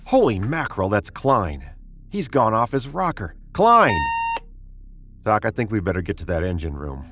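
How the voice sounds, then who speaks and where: Casting: commanding space captain and narrator, low and highly expressive, brusque, 1950s radio acting. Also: male voice, moderate pace, slight background noise, exaggerated voice. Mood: urgent, alarmed. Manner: brusque, authoritative.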